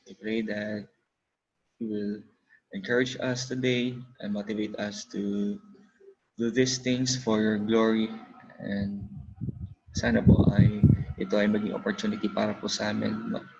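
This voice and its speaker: A man's voice: fairly low pitch, creaky. A man with a Filipino accent leading a reverent spoken prayer in a class on a video call. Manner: reverent, measured, uses polite "po". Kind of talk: spoken prayer mixing Tagalog and English. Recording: slightly dull with faint noise.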